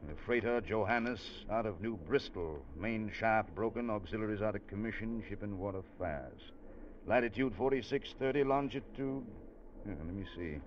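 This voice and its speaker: An actor playing a sensible, skeptical ship's officer in a 1940s radio drama, telling the tale in an earnest, dramatic way. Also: male voice, on the low side, normal speed, slight background noise, lightly acted. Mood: businesslike. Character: sensible, skeptical ship's first officer recounting a sea adventure. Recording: muffled old radio drama recording.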